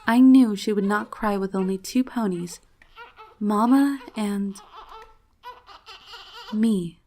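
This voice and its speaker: A woman's voice: mid-range voice, takes it slowly, dark tone. A woman reading fan fiction as a dramatic first-person narrator, emotive and solemn in her storytelling. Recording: faint music behind the voice.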